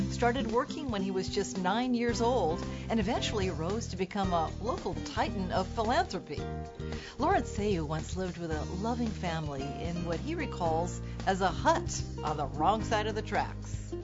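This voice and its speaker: A woman hosting a television interview, who reads her introductions and asks questions in a clear, measured broadcaster manner. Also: mid-range voice, sing-song, highs cut off, loud music behind the voice.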